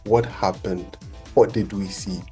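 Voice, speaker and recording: A man hosting a casual football chat show, excitable and animated, quick to laugh. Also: low-pitched, soft background music. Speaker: podcast host.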